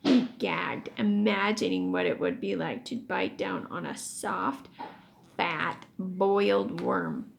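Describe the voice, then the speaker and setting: A woman reading a children's book aloud expressively, as a teacher would, pausing to explain words. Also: lowish voice, creaky, slight background noise. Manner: animated storytelling, pauses to explain words.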